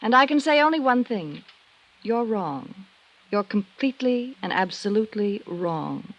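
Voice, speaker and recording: An actress playing a composed, evasive wife under suspicion, in an old-time radio drama. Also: female voice, mid-range voice, animated, a little slow, a bit bright, lo-fi sound. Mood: wounded, defiant. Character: cool, evasive married woman suspected of insurance fraud.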